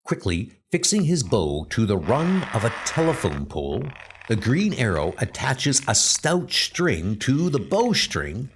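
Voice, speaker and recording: A man narrating a comic book story in a deliberate, theatrical scripted style. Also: medium pitch, huge pitch swings, slight background noise. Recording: loud music under the voice.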